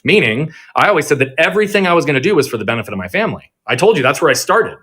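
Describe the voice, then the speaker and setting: Rapid-fire earnest man telling his story. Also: on the high side, breathy edge. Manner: energetic, earnest, self-reflective. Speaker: guest, performance coach. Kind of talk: conversational storytelling interview. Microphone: remote audio on a social audio app.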